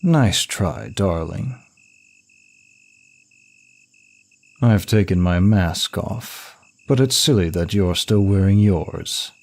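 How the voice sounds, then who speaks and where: Man acting a slow, deep-voiced seductive demon. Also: creaky, darkish tone, exaggerated voice. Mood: mocking, amused. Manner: slow, sultry, lingering delivery. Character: seductive, teasing, ancient male demon of lust. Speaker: voice actor in audio roleplay. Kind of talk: intimate ASMR roleplay acting addressed to listener.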